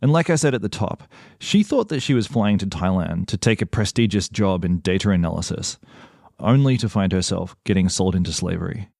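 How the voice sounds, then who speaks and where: A man hosting a podcast, giving an earnest, measured narration and interviewing a guest. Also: low voice, expressive, gravelly, darkish tone, dry recording, studio quality. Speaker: podcast host.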